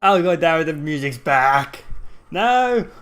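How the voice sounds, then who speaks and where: Slow-paced male streamer reacting to a game. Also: on the high side, animated, a bit bright, clean tone. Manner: exasperated exclamations, mild swearing. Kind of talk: live game playthrough reactions. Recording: game music behind the voice.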